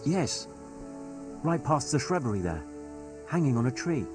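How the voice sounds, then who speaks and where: A man's voice: mid-range voice, sing-song, measured pace, over music. An actor playing a timid, worried middle-aged merchant father in a medieval video game. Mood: anxious, hopeful. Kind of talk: naturalistic video game acting.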